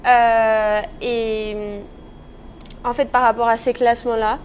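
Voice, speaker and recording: A woman's voice: on the high side, level tone, slow. A young woman of nineteen. She answers questions in a casual interview style. Faint noise sits behind her. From Paris region, France. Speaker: interview guest, professional tennis player.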